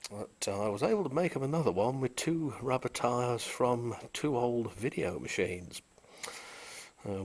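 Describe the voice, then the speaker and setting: A man giving an unhurried, technical show-and-tell of an old tape recorder he repaired, pausing often with "uh" as he points out its features. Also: mid-range voice. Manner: unhurried, technical, with frequent "uh" pauses. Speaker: vintage electronics restorer. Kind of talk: casual show-and-tell demonstration.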